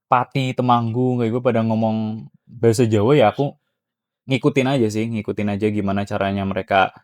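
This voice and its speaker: A young man speaking with a Banyumasan Ngapak accent, his voice sitting somewhat low. He chats loosely and wanders in his talk, mixing slang with Javanese. The sound is dry and close, with no room echo. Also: brisk. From Cilacap, Central Java. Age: young adult. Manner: relaxed, rambling, mixes slang with Javanese. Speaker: podcast guest, university student. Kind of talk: casual podcast chat.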